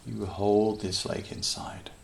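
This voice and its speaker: Really slow gravelly guy doing a calm guided meditation, long pauses, soothing, soft bird and nature sounds behind. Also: on the low side, slight background noise. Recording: nature ambience with birdsong behind voice. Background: natural sounds, birds.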